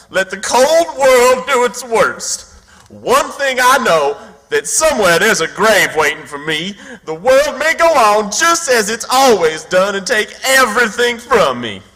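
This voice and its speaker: Self-pitying swindler duke, radio drama, bright theatrical voice, melodramatic, music behind, long reverb. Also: male voice, high-pitched, exaggerated voice. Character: self-pitying con man posing as a wronged duke. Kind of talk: period radio theatre acting.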